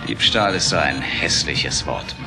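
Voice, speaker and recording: Cocky, animated young programmer. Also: male voice, a bass voice, a little slow, exaggerated voice, rough recording. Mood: sardonic storytelling. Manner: colloquial, sardonic, excitable. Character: cocky, brash young male software engineer and arcade owner. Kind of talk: film dialogue in an audio drama. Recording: clear music behind the dialogue.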